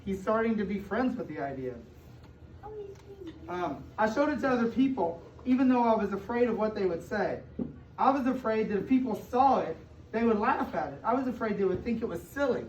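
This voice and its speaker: A woman's voice: medium pitch, expressive, a little fast, darkish tone. American woman reading to children, echoey and distant. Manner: explains and paraphrases as she reads, with questions and emphatic stretched words. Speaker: school superintendent reading aloud to children. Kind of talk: interactive picture-book read-aloud to young children. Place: indoor room with children present. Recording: distant, echoey, muffled room recording with noise.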